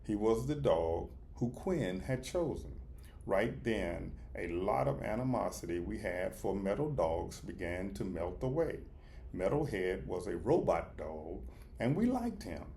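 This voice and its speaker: A man narrating a storybook as a reader, deliberate, in short and sometimes halting phrases. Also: deep voice, gravelly, warm tone, a little hiss or hum. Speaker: audiobook reader.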